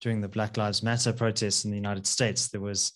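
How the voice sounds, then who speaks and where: A man hosting a podcast, reading a scripted intro and asking interview questions in a clear, composed way. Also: on the low side, little pitch movement, brisk. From South Africa.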